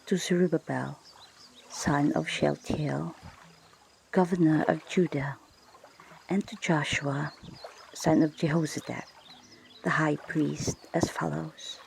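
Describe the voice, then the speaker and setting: A woman speaks in a very slow, hushed ASMR whisper, as if leading a guided prayer. Her breathy voice often drops into a crackly fry. She is unhurried and deliberate, with soft ocean water noise running behind her. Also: low voice, steady tone. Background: ocean water ambience.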